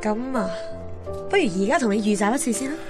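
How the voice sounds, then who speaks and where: A woman's voice: on the high side, animated, fast talker, over music, close to an everyday voice. An actress playing a candid, guarded young woman with a Hong Kong accent, frank and composed in a radio drama. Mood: probing, frank. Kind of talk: radio drama narration and dialogue.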